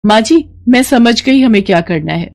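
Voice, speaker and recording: Woman playing an earnest young village daughter-in-law, high-pitched. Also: expressive, moderate pace, vocal fry, darkish tone. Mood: determined. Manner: pleading, eager, respectful. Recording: noticeable background noise.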